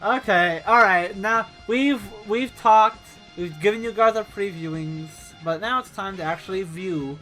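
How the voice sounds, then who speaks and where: Bright-voiced man hosting a casual podcast. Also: high voice, unhurried, soft background music, low-quality recording. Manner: lively, hesitant restarts, swears casually. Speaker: podcast co-host. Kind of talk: casual chat.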